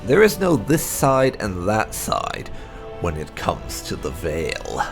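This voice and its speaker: A man narrating fantasy game lore aloud, with deliberate, dramatic emphasis as a video host. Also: on the low side, huge pitch swings, over music.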